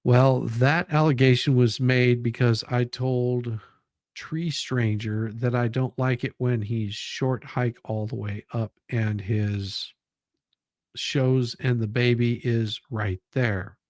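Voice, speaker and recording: A man with a slow, measured pace reads documents aloud and adds his own commentary. The sound is close and dry, and his delivery is halting, often circling back over phrases. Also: medium pitch, fairly even intonation, darkish tone, no echo. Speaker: commentary show host.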